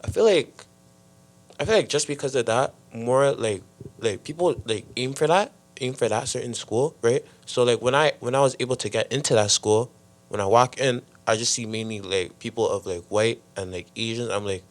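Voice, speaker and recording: A teenage boy speaking casually on a youth podcast, halting and leaning on "like" and "I feel like". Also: medium pitch. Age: teens. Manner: halting, heavy use of "like" and "I feel like". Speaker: podcast panelist. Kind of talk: casual discussion.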